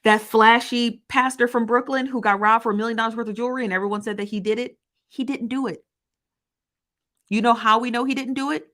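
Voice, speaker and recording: A woman's voice: fairly high pitch, steady tone, a bit bright. Woman commentary host, emphatic and repetitive, confident storyteller. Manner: emphatic, repetitive, argumentative, with quick laughs. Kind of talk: opinionated news commentary, reading viewer comments.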